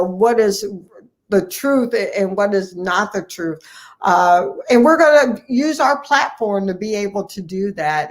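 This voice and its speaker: American woman giving earnest interview answers. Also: mid-range voice. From United States, Ohio. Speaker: guest, family physician and medical association president-elect.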